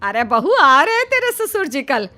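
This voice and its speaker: A woman's voice: high-pitched, sing-song, measured pace, bright, forceful tone, faint music behind, full character voice. A woman voice acting flustered, nosy women of an Indian household, a mother-in-law and elder daughter-in-law, in a cartoon story. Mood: nosy, scolding.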